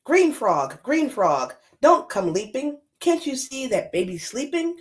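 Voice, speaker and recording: Anxious young-child's mama for a picture book, woman reader: pleading, hushing, sing-song, swooping intonation. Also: high-pitched, very animated, a little slow, pitched above the performer's own voice. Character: anxious mother hushing animals to protect her sleeping baby.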